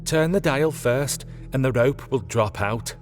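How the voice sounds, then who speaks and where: A man's voice: mid-range voice, unhurried, long reverb tail, music in the background, pitched above the performer's own voice, natural voice. A man voicing a bold, boastful woman inventor in an audiobook, with emphatic, showy delivery. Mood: explaining. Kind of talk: audiobook character voice.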